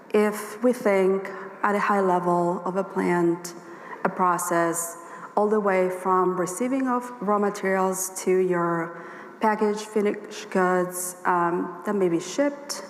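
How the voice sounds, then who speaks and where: A woman with a hoarse voice giving a workshop presentation at a conference, explaining her points steadily and speaking straight to the audience. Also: mid-range voice, slight background noise. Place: conference hall. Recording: echoey hall with long reverb, faint ambience.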